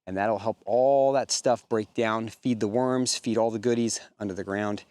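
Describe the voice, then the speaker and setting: A young man with a slightly raspy voice, casually explaining his farm plans outdoors and thinking aloud as he goes. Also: on the low side, normal speed. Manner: relaxed, thinking aloud, loose rambling sentences. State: slightly raspy from yelling the day before. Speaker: homesteading vlogger. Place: outdoors on a hillside farm field.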